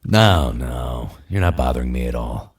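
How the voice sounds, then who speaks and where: A man playing a gruff superhero loner, menacing yet flirtatious, with a rough gravelly voice in an audio drama. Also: a bass voice, lively intonation, normal speed, heavily acted. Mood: flirty.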